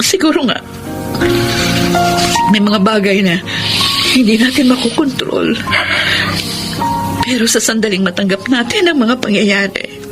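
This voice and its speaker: A woman with a Filipino accent acting in a radio drama as a gentle, polite, grieving woman, with emotive delivery. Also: a little high, lively intonation, a little slow, long pauses, over loud music, poor audio. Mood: gentle, comforting.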